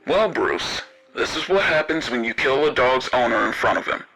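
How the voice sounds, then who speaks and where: A man voicing a taunting, menacing police detective in an audio story, speaking in a sardonic, deliberate, threatening way. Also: mid-range voice, expressive, bright, forceful tone, soft background music, rough recording.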